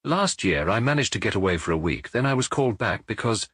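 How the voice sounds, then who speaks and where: A man reading as a gruff, weary detective. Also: fairly low pitch, very animated, fast, a bit bright, no echo, studio quality, heavily acted. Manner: gruff, terse, reluctant. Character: gruff middle-aged male police detective.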